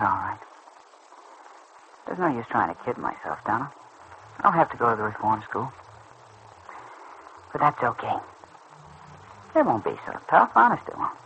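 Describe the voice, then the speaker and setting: Earnest teenage boy, bright-voiced. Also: medium pitch. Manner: earnest, boyish, slangy. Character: earnest injured teenage boy, tender and proud. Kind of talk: mid-century radio soap acting. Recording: muffled, noisy old radio recording.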